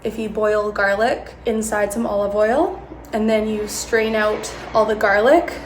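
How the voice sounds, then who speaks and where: A woman's voice: on the high side, roomy sound, slight background noise. A young woman vlogging at home in a casual, chatty way, still congested from a cold. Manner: chatty, friendly, talking to viewers. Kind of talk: casual haul vlog talk.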